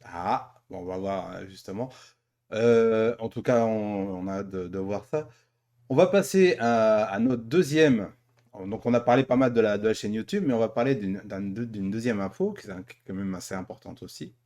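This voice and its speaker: A man's voice: on the low side, moderate pace, darkish tone. A man hosting a casual interview, speaking informally and often stumbling and correcting himself. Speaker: interviewer and host. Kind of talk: casual podcast-style interview.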